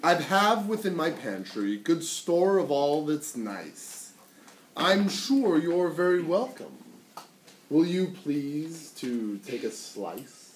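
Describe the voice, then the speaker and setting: A man voicing a cunning male spider from a storybook, coaxing his prey in slow, drawn-out flattery. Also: on the high side. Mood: falsely affectionate. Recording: roomy, distant mic, faint noise.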